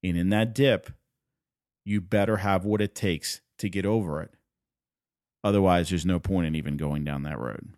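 A man's voice: sits low, fairly even intonation, normal speed, darkish tone, no echo, clean studio sound. A man from Puerto Rico whose first language is Spanish, hosting a podcast and talking earnestly and emphatically, driving points home by repeating them. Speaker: podcast host. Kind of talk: motivational solo podcast talk.

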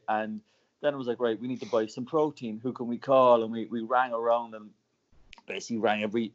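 A man from Cork with an Irish accent, telling his founder story as a podcast guest in a relaxed, chatty way. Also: medium pitch, dull audio. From Ireland. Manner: chatty, laughs often, many restarts. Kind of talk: casual podcast interview storytelling.

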